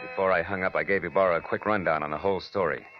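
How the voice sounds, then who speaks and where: Actor as a low-voiced hard-boiled 1940s radio detective. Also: male voice, fairly even intonation, muffled recording, close to an everyday voice. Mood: reflective, tense. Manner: dry, deliberate, sardonic storytelling. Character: wry, weary, hard-boiled male private detective. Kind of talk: 1940s radio noir narration and acting. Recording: band-limited 1940s radio recording with music.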